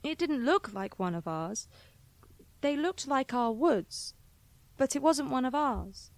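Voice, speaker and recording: A woman plays an innocent, dreamy little village girl in an audio drama. The voice is high and childlike, curious and questioning, in a very dry recording. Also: lively intonation, slow, a little mellow, no room sound, heavily acted. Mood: puzzled, eager.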